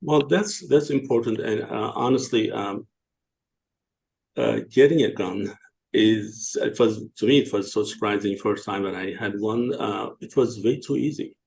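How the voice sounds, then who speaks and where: Gravelly male host. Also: fairly low pitch, darkish tone, poor audio. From Turkey. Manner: hesitant, many fillers, halting. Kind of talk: interview questions.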